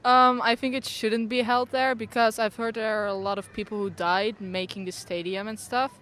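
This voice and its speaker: A woman's voice: fairly high pitch, little pitch movement, normal speed, a bit bright. A young woman answering a street interviewer, giving her opinion earnestly with plenty of fillers. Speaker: passer-by interviewee. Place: city street outdoors. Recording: faint street noise outdoors.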